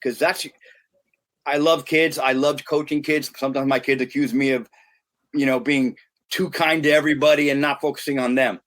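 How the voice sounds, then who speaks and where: A man's voice: medium pitch, fairly even intonation, normal speed, a bit bright. Middle-aged american guy on a podcast, earnest, keeps restarting his sentences. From United States. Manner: earnest and emphatic, with frequent restarts. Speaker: guest, youth sports consultant. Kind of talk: podcast interview talk.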